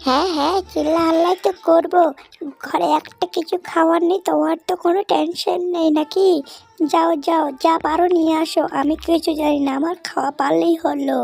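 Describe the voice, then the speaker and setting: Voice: very high-pitched, fairly even intonation, a little fast, creaky, darkish tone, soft background music. A performer voicing a nagging, impatient wife animal in a village cartoon, scolding and demanding. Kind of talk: Bengali cartoon voice acting.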